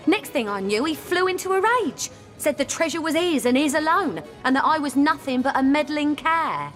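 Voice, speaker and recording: A woman's voice: fairly high pitch, natural voice. A woman playing a dramatic, genteel courtesan and damsel in a video game, with a theatrical, mannered delivery. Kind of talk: video game character acting. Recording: reverberant hall sound, music underneath.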